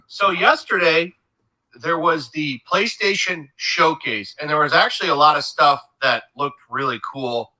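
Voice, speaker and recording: A man gives gaming news commentary from a script, measured and steady. He sounds congested from a cold. His voice is very bright and sharp, and it sits a little high. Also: poor audio.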